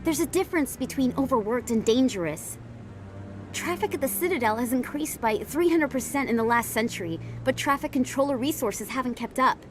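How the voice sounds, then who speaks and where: A woman's voice: animated, fairly quick, soft background music. A woman playing a persuasive, assured investigative reporter in a science fiction video game, speaking articulately. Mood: insistent, reassuring. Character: persuasive, driven female investigative reporter of space opera. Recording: faint rumbling background noise.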